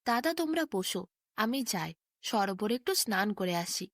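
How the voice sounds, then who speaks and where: A woman's voice: medium pitch, very animated, moderate pace, no echo, studio quality. A woman voicing an innocent, confident young prince in an easygoing way for a bedtime story. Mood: carefree, eager.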